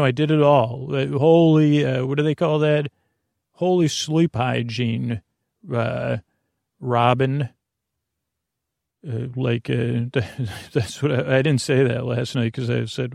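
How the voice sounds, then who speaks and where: A man with a creaky, gravelly, mid-pitched voice hosts a bedtime podcast in a close, dry recording. He wanders through his sentences, doubling back and trailing off, in a soothing and reassuring manner. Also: a little mellow, no room sound. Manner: meandering, halting, repeats and trails off mid-thought. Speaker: podcast host. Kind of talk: rambling bedtime sleep podcast intro.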